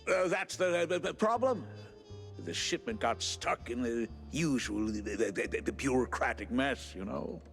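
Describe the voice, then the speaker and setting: A man's voice: mid-range voice, lively intonation, normal speed, creaky, fairly bright tone, soft background music. A man voicing a flustered, pompous rodent merchant in a 1930s-style cartoon game, stammering in a genteel, bumbling way. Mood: flustered, pleading.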